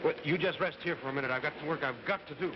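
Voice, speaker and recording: A man's voice: a little high, very fast, close to an everyday voice. Man acting earnest 1950s drama man, noisy recording. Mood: grave, determined. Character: earnest, grimly resolute man, loyal friend of the hero. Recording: muffled, very noisy vintage 1950s broadcast.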